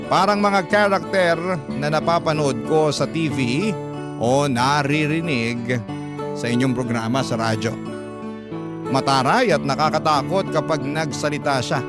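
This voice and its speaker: Male Filipino radio narrator, mid-range voice, dramatic letter reading over strong plucked-guitar bed. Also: mid-range voice. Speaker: radio story narrator and host. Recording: prominent guitar music underneath. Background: plucked guitar music.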